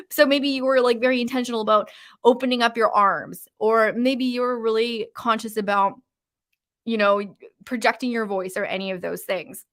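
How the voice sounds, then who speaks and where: A woman's voice: a little high, a bit bright. A woman with a mild Canadian West Coast accent, coaching on a live stream in a casual, informal way, with uptalk and lots of fillers. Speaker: confidence coach. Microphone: live-stream mic.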